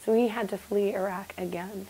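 A woman's voice: medium pitch, fairly even intonation, normal speed, a little hiss or hum, low-quality recording. A woman reflecting earnestly on her husband's journey in a documentary interview. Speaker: interviewee, wife. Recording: loud music underneath.

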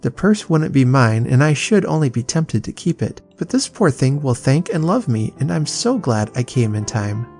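A man reading aloud and voicing a poor, cheerful, kind-hearted little girl in a soft, earnest way. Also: mid-range voice, very animated, moderate pace, hardly any pauses, soft background music. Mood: tender. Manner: gentle, earnest, tender.

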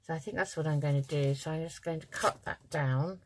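A woman with a slightly croaky voice, chatting casually and thinking aloud as she hosts a craft tutorial at her table. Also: deep voice, fairly quick, slight background noise. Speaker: craft channel host. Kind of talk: casual craft tutorial, talking while working.

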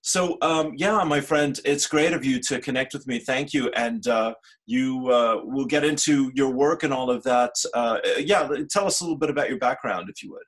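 An older man with a husky, slightly breathy voice, hosting an interview over a video call from a cluttered home room. He speaks hesitantly with many fillers and quick agreements. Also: mid-range voice. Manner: warm filler-heavy, hesitant, says "sure" often. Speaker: host.

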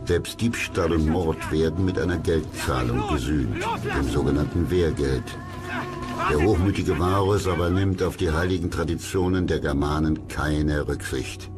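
A man narrates a documentary in an extremely deep voice. His scripted delivery is measured and dramatic, with music clearly playing underneath. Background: music behind the voice.

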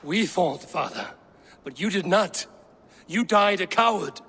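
A man's voice: high voice, expressive, a little slow, fairly bright tone, breathy edge, full character voice. A man playing a stern, defiant Viking warrior in a video game, with a grave and resolute delivery. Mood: stern, accusing. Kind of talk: dramatic video game acting.

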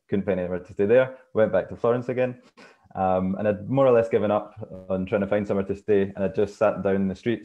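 A man in his thirties with a fairly low voice, telling stories casually as a guest guide. The sound is slightly dull, as on a video call from a room at home. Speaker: tour guide, panel guest. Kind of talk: casual anecdote telling on a round table. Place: small room at home, indoors. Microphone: earphone mic on a video call. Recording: muffled video call audio.

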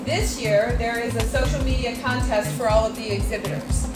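American woman, middle-aged event host, high voice, emphatic informal announcing, loud music behind. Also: steady tone, moderate pace. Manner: emphatic, colloquial, prompting listeners with questions. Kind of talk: informal announcement to a live gathering. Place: indoor venue at a mixer event. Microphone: camera a few metres away. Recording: roomy, distant mic, loud background music.

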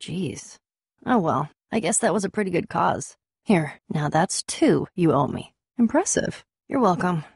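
A woman voicing a tough, sarcastic, self-assured young adventurer and trader in a video game, with an American accent and a wry, brisk delivery. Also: lowish voice, expressive, gravelly, husky, no room sound, clean studio sound, full character voice. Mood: wry, casual. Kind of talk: animated adventure game dialogue.

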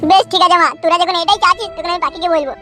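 A man's voice: very high-pitched, rapid-fire, loud music behind the voice. A man voicing a hot-headed, foul-mouthed young village man in a comic cartoon, in slangy rural West Bengal dialect. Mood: coaxing. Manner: brash, slangy bickering.

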